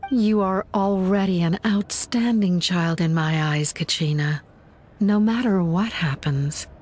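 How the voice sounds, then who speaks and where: A woman playing a serene, loving guardian spirit in a video game, speaking softly and tenderly like a mother to her children. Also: fairly low pitch, slight background noise, natural voice. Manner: tender, measured, reassuring. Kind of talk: dramatic video game acting.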